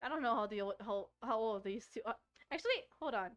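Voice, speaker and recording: A woman's voice: on the high side, expressive, fast, dull audio. A woman gaming streamer doing casual let's-play commentary, reading a visual novel aloud and often stumbling and repeating words as she reads.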